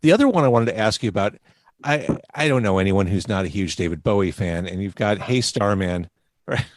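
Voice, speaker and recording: A man hosting a podcast interview over a video call, chatty and quick to laugh at his own fumbles. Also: fairly low pitch, expressive, no room sound, clean studio sound. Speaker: podcast host. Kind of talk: casual interview chat.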